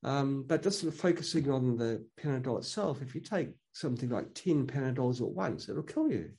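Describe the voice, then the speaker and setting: A man's voice: mid-range voice, expressive, a little fast, gravelly, darkish tone. A man speaking as an interview guest in a casual conversation, earnest and opinionated, often restarting his sentences.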